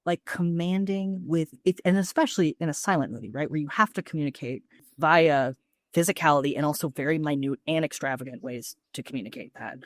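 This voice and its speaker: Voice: medium pitch, a little fast, no room sound, studio quality. A podcast co-host chatting casually and enthusiastically, with plenty of slang and swearing.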